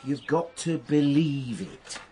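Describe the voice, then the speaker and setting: High-pitched, meek servant. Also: male voice, slow pace, warm tone, slight background noise. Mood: meek, puzzled. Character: meek, literal-minded male servant. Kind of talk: radio drama dialogue.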